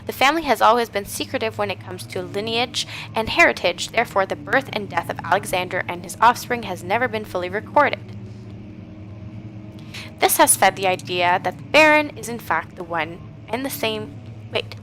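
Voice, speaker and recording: Raspy young woman streaming a horror game. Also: medium pitch, lively intonation, moderate pace, a bit bright, a little hiss or hum, poor audio. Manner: reads notes aloud, then jumpy reactive chatter. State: getting over a cold. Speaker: gaming streamer. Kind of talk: horror game let's play commentary. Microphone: headset. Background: game music.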